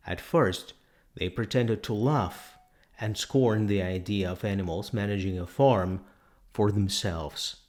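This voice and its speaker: A man reading a novel aloud as scripted narration, in a measured way with the occasional stumble over words. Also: fairly low pitch, darkish tone. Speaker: audiobook reader.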